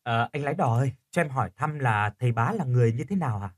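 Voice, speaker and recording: A man's voice: mid-range voice, lively intonation, fast talker, natural voice. A man voicing a feisty young woman in an audio story, blunt with friends and polite to elders. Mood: polite, curious.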